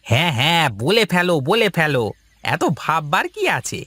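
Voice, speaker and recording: A man's voice: on the high side, sing-song, moderate pace, sharp tone, dry recording. A man voicing an easygoing village husband in a cartoon family story, with an exclamatory, coaxing manner. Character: easygoing village husband who placates his nagging wife.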